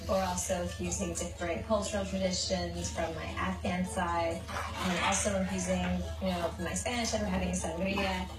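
A woman's voice: on the low side, level tone, moderate pace, roomy sound, far from the mic, loud music behind the voice. A woman describing her upcoming wedding in a chatty, upbeat reality TV confessional. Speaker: bride-to-be on a wedding show.

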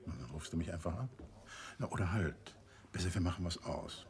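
An actor playing a courteous, composed middle-aged detective in a radio crime drama, speaking in a measured, conversational way. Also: male voice, sits low, natural voice. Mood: friendly, businesslike.

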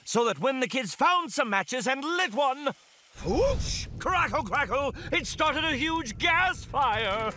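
A man playing an excitable, silly storybook narrator for a children's cartoon, with a playful, exaggerated, mock-dramatic delivery. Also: very high-pitched, slow pace, exaggerated voice.

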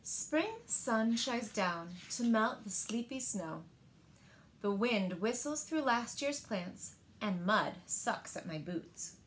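Slow-paced, higher-voiced woman reading a storybook. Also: expressive, a little hiss or hum, rough recording. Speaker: library storyteller. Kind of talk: reading a picture book aloud to children. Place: indoors, small living room by windows.

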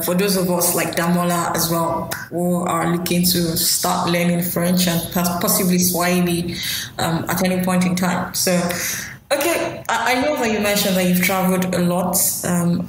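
A woman hosts a podcast and asks long, hesitant questions full of fillers, in a lowish, flat voice. Her voice bounces around a very echoey room, and faint noise sits under it. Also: normal speed. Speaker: podcast co-host. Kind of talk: interview questions.

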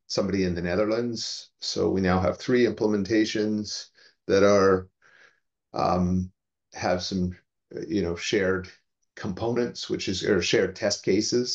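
A man giving an informal technical update on a video call in a measured, halting way. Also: deep voice. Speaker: meeting participant giving updates. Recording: dull video call audio.

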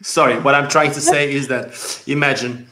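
A man in his forties with a Portuguese accent, hosting a casual interview over a video call from a dim room at home, animated and quick to laugh. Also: medium pitch. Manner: animated, laughing, gestures a lot. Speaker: host. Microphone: headset on video call.

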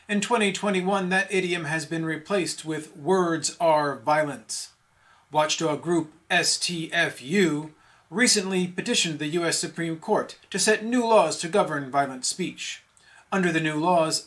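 A man's voice: on the high side, fairly bright tone. A man delivering a scripted satirical news bulletin as an anchor.

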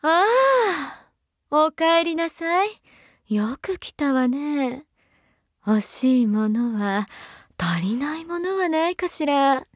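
High-voiced, doting, seductive mother figure. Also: lively intonation, slow pace, no room sound, studio quality. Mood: tender, soothing. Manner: coaxing, breathy-whispering at times, archaic feminine phrasing. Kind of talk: Japanese mobile game character voice lines.

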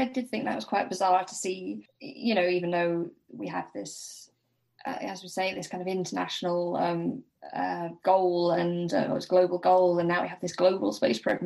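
A woman speaking as a podcast guest in casual discussion, hesitant and halting as she thinks aloud. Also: lowish voice, gravelly, darkish tone.

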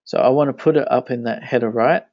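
A man with a gravelly edge to his mid-pitched voice talks casually through a software tutorial, narrating each click as he goes. He speaks English, though his first language is Danish. The recording is dry and dull, with mouse clicks behind him. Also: quick pace, dry recording. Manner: casual, thinking aloud while clicking. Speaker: web tutorial instructor. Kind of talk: screencast tutorial walkthrough.

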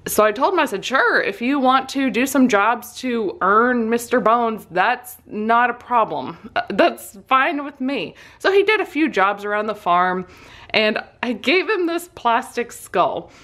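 Female vlogger, slightly high voice, casual and self-deprecating storyteller, audibly amused. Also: animated, measured pace, fairly bright tone. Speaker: vlogger and soap maker. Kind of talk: casual personal storytelling voice-over.

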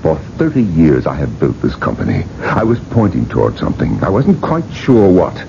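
An actor playing a domineering older industrialist obsessed with living forever, imperious and emphatic, in a radio drama. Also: male voice, a bass voice, huge pitch swings, moderate pace, gravelly, heavily acted. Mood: bitter, domineering. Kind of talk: 1980s radio drama acting and first-person narration. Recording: muffled, noisy vintage radio broadcast.

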